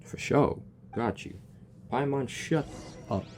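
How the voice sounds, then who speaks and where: A man's voice: mid-range voice, slow pace, a little mellow, a little hiss or hum. A man giving casual, jokey commentary while playing through a game story, talking back to the characters. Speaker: gamer reacting to a story quest.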